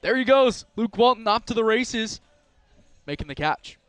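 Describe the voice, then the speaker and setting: A man giving color commentary on a baseball game, breaking down each pitch and swing. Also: lively intonation, moderate pace, fairly bright tone, clean tone, dry recording.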